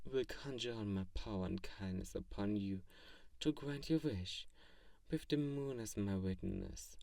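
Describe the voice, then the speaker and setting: A low, close and dry voice for a kindly vampire, played by a man in an ASMR audio roleplay. He is soft-spoken and earnest, with a theatrical, grandiose streak and a gently teasing way of talking. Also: dry recording, distant mic. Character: kindly, theatrical, self-styled great male vampire befriending a lonely human.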